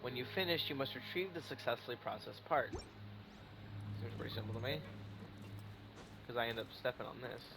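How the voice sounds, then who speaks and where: A young man commentates his own video game playthrough in a mid-pitched voice. He is casual and jokey, swears freely and reads game text aloud over clearly audible music. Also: normal speed, pauses often, rough recording.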